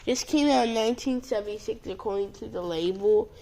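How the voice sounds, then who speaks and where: Someone presenting a casual unboxing vlog in short, halting phrases. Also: medium pitch, vocal fry, warm tone. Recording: noisy home video with clicks and handling.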